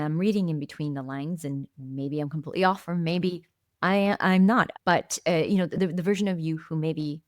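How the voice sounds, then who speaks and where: Very low-pitched woman, reflective podcast host. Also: dry recording, clean studio sound. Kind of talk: reflective conversational interviewing.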